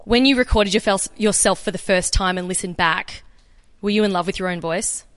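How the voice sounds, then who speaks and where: An Australian woman giving an energetic, chatty conference talk and answering questions. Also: mid-range voice, fairly quick, in a large hall. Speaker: conference speaker. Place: conference room.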